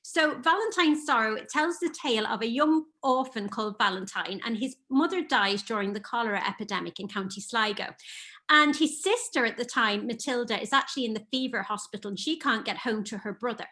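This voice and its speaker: A woman's voice: a little high, brisk, bright, forceful tone. A woman with a half English, half Irish accent, an author talking eagerly about her books in a remote interview. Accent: mixed English and Irish. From north of England. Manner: enthusiastic, storytelling. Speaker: children's author guest. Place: home in Ireland, remote call.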